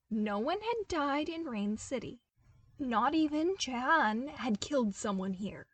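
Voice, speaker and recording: A woman reading a fan story aloud as a podfic, narrating with animated, emphatic bursts. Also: sits high, husky, no echo. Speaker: podfic reader. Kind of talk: expressive fanfiction narration.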